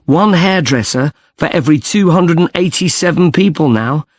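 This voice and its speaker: Interested male student, slightly high voice, conversational, scripted discussion, dry studio sound. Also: animated, moderate pace, no echo. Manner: engaged, conversational.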